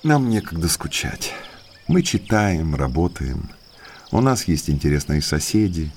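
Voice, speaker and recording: An actor playing a genial, bookish naturalist in an audio drama, courteous, talkative and polished. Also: male voice, a bass voice, sing-song, measured pace, darkish tone, slight background noise, heavily acted. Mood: sociable, courteous. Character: genial bookish male naturalist neighbour, eagerly sociable.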